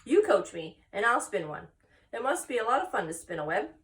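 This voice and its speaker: An eager, earnest young pig from a children's storybook, acted out by a woman reading aloud. The voice swings up and down with naive excitement and sounds a little away from the microphone. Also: mid-range voice, fairly quick, recorded from a distance. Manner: earnest, questioning, easily excited.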